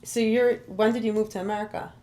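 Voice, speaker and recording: A woman from Israel hosting a podcast, speaking casually and warmly with lots of fillers. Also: medium pitch, fairly even intonation, fast. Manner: warm, rambling, lots of "like" and "you know". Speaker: podcast host. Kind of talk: casual conversational interview.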